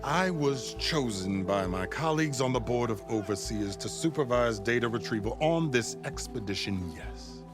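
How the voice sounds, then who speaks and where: An actor playing a formal, reverent overseer and advisor to a ruler in a video game, speaking ceremoniously. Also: male voice, mid-range voice, animated, moderate pace, hardly any pauses, music in the background, close to an everyday voice. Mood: formal, grave. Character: solemn, devout male overseer and deferential advisor to ruler. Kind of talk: dramatic video game acting.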